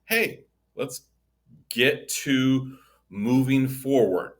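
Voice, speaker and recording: A man co-hosting an insurance podcast, chatting casually and often restarting his sentences. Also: medium pitch. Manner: halting, many restarts and repeated words. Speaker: insurance agent co-host. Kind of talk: casual conversational chat.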